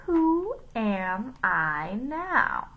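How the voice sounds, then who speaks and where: A woman's voice: fairly high pitch, sing-song, drawn-out words, a bit bright, husky, voice pushed up, exaggerated voice. A woman voicing a mean, sneering male teacher with a sore-throated, sick-donkey French voice in a storybook reading. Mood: taunting. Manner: taunting, menacing.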